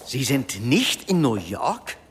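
Animated middle-aged businessman. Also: medium pitch, slow pace, a little hiss or hum, heavily acted. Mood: insistent. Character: lonely, hesitant middle-aged American businessman, impatient at work. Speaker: actor in a radio play. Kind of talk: German radio drama dialogue.

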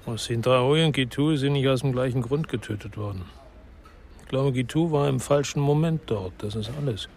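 An actor playing a world-weary, brooding ex-policeman, narrating and speaking in a literary crime drama in a measured, restrained way. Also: medium pitch, darkish tone, slight background noise, lightly acted. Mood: matter-of-fact, wary.

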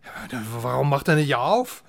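A man's voice: medium pitch, lively intonation, moderate pace, vocal fry, noisy background. An actor playing an agitated newspaperman in a radio crime drama, urgent and impatient. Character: agitated West German newspaperman looking for friend.

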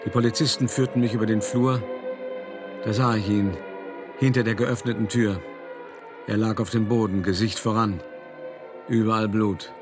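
Actor as deep-voiced older art dealer, wryly reminiscing. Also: male voice, steady tone, music in the background, lightly acted. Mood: tense recounting. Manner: measured, dramatic, cultivated raconteur. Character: elderly male art dealer recounting his escapes, wry, weary. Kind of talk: radio play monologue, storytelling.

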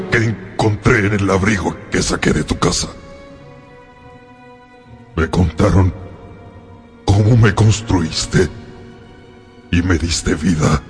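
An actor playing an anguished, vengeful monster in a radio drama, brooding and volatile as he addresses his creator. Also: very deep voice. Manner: slow, brooding, emotionally volatile delivery. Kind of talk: dramatic radio play acting.

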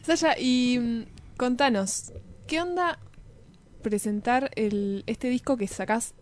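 A woman's voice: fairly high pitch, slow. A woman with an Argentine accent hosting a radio show, interviewing in a casual, chatty style.

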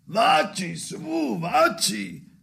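An actor voicing a harsh, angry father in a radio drama, barking orders and contempt in a forceful, imperious shout. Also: sits high, sing-song, extremely slow, creaky, breathy edge, pitched above the performer's own voice, exaggerated voice. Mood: impatient, commanding. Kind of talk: avant-garde radio drama.

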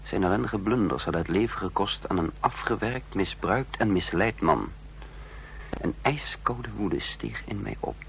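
Low, grave, commanding man. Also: big pitch swings, gravelly, slight background noise, full character voice, poor audio. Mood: grave, haunted. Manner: grave, deliberate, authoritative. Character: commanding intelligence chief, coldly furious, remorseful narrator. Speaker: narrator and lead character. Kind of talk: dramatic radio play acting.

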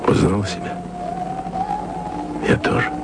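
An actor playing a wistful radio archivist who speaks softly and intimately to his beloved in a radio play. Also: slow pace, over loud music, natural voice. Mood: tender. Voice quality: low, gravelly voice. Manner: soft, intimate, sometimes whispered. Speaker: radio man speaking to his distant lover.

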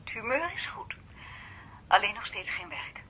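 An actress playing a shy, eager young woman in a naturalistic radio drama, speaking in a halting, earnest way. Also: medium pitch, big pitch swings, bright, forceful tone, in a whisper, poor audio. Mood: shy, hesitant. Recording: noticeable background noise.

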